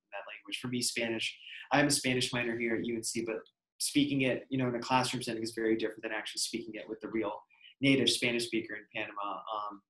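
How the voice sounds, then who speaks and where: A young man on a student panel, answering questions quickly with many likes and ums. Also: medium pitch, a bit bright. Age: young adult. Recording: roomy echo.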